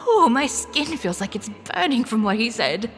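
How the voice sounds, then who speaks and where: A woman's voice: a little high, normal speed. Sardonic, flustered young woman an actress plays in reverb. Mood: flustered, doubtful. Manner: wry, expressive, with sighing interjections. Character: young cash-strapped woman, sardonic, wary, inwardly flustered. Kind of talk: audio drama dialogue with inner monologue. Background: music.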